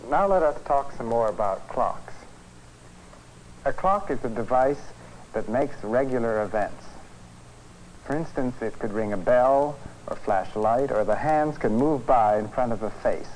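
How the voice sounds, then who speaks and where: A man, a physics professor, presenting an educational film lecture in a measured, conversational, explanatory way. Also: medium pitch, darkish tone. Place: film studio. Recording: noisy 1950s educational film soundtrack.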